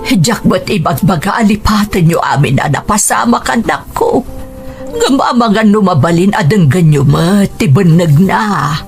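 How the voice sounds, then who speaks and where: A woman playing a patient mother of grown children in a radio drama, gently imploring with an Ilocano accent. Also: medium pitch, lively intonation, moderate pace, soft background music, natural voice. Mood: gentle pleading.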